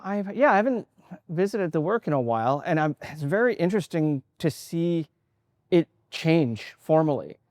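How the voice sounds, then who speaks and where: A man's voice: a little high, darkish tone, no room sound, clean studio sound. A man in his forties who grew up in Canada, an artist talking about his work in an interview, thoughtful and hesitant, with many fillers and restarts. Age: 40s.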